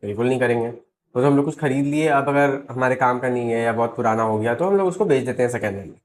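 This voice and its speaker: A man with an Indian accent and a slightly hoarse voice, teaching step by step and checking in with rhetorical questions. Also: mid-range voice, quick pace. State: slightly hoarse, sore throat. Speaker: accountancy teacher. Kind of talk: explanatory lesson mixing Hindi and English.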